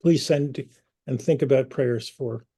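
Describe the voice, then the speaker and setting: A man hosting an online community meeting, speaking earnestly and personally, pausing with fillers and restarts. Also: medium pitch, creaky, mellow, husky. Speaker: community group host.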